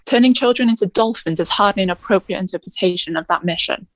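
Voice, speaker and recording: A woman playing a calm, protective male centaur mentor in a theatrical table read, speaking in a measured, formal way. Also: medium pitch, natural voice. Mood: measured, reasoning.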